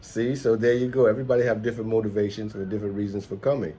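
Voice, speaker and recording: A middle-aged American man hosts a casual vlog in a deep voice. He talks in a rambling, reflective way. There is slight noise behind him. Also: flat delivery, very fast, warm tone. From United States, New York City. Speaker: host, entrepreneur and musician. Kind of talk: casual conversational vlog chat.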